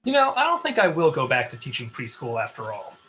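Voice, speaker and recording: A man's voice: mid-range voice, wildly swooping pitch, brisk, pushed and bright, a little hiss or hum. A man playing a mild, naive boyfriend in a comedy table read. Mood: earnest. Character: mild, naive boyfriend, former preschool teacher.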